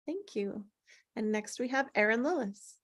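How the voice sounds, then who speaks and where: A woman with a fairly high voice hosts an online read-through. She talks in an informal, somewhat scattered chatty way, picked up over a video call. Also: animated, moderate pace. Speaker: host of an online table read. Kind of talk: casual chat.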